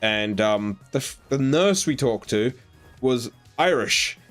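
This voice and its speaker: A man's voice: mid-range voice, animated, slow, a bit bright, soft background music. A man with an Australian accent chatting casually on a live game stream, rambling with lots of fillers as he answers his chat. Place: home in New Zealand.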